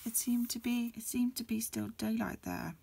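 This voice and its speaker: A woman reading a storybook aloud as ASMR in a breathy, mostly whispered voice, a little hesitant, with small stumbles. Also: on the high side, normal speed, warm tone. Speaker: amateur reader. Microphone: close recording, lo-fi home setup.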